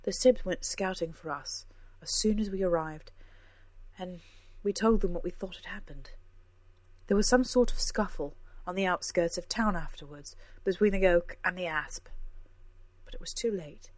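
A woman voicing an impassive, hushed young woman. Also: fairly low pitch, dry recording, close to an everyday voice. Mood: grave. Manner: soft, measured, hushed.

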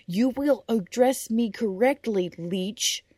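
A woman's voice: on the high side, extremely slow, husky, no echo, voice pushed up, full character voice. A woman voicing a hulking male knight who trains young pages, barking commands with a stern edge. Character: burly gruff male knight drillmaster, stern.

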